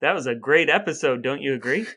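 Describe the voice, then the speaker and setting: A man's voice: mid-range voice, lively intonation, quick pace, pushed and bright, breathy edge. A man co-hosting a casual chat podcast with his brother, playful and dry-humored. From American Midwest. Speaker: podcast co-host.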